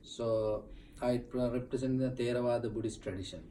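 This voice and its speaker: A man, a Buddhist monk being interviewed in a temple, explaining slowly with many fillers and restarts. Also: medium pitch, fairly even intonation, warm tone, a little hiss or hum, rough recording. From Sri Lanka.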